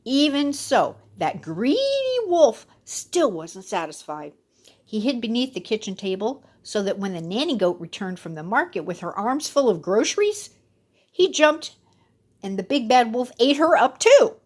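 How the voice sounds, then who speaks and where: A woman reads a children's story with playful, dramatic emphasis. Her voice is fairly high and very animated, rising and falling expressively. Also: unhurried.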